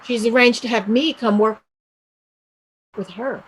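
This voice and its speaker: A woman playing a restless young Irish village woman set on emigrating, speaking emphatically and deliberately in a stage play reading. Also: fairly high pitch, fairly even intonation, breathy edge. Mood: determined.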